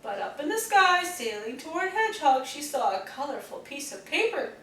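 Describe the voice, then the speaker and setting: A woman reading children's stories aloud, with an expressive, deliberate storytelling manner for young listeners. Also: sits high. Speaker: storybook reader. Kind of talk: children's read-aloud narration. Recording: roomy, distant mic, faint noise.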